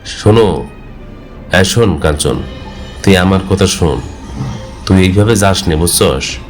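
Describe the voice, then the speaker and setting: A man's voice: low voice, measured pace, soft background music. A man voicing a cautious, reproachful old villager in a cartoon, world-weary and admonishing, with a rural Bangladeshi Bengali accent. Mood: cautioning. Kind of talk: cartoon character dialogue.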